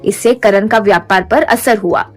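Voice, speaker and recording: A woman's voice: medium pitch, normal speed, faint music behind. Woman narrating a cartoon moral story. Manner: steady storytelling cadence.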